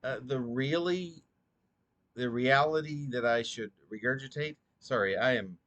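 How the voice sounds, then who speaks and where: A drowsy man reading his own poetry slowly and deliberately, stumbling and repeating phrases as he goes. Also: mid-range voice, a bit bright, dull audio. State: drowsy, short on sleep. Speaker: poet reading own work. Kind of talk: slow, halting poetry reading.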